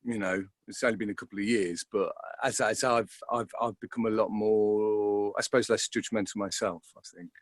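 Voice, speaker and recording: A man, an actor being interviewed, talking reflectively and casually in a halting way, often repeating words as he thinks. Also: fairly low pitch, steady tone, no echo, studio quality.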